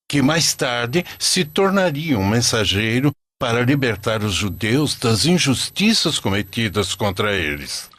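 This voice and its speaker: A man playing a devout, patient old grandfather narrating a sacred animated story. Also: fairly low pitch, dry recording, heavily acted.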